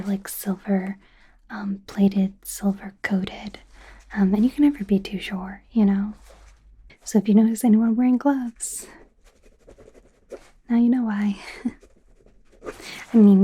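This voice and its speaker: A woman plays a friendly werewolf doctor in a whispered ASMR exam roleplay. Her voice is very breathy and husky, with a soft, mellow tone. She takes her time, rambling in an eager, awkward, hesitant way. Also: medium pitch. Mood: flustered, playful.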